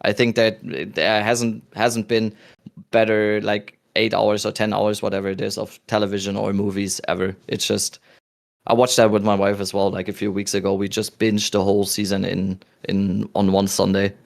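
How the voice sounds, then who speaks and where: Male host, 30s, German accent, lowish gravelly voice, easygoing rambling delivery. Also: moderate pace. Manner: relaxed, rambling with restarts. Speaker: podcast host. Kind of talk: casual chat.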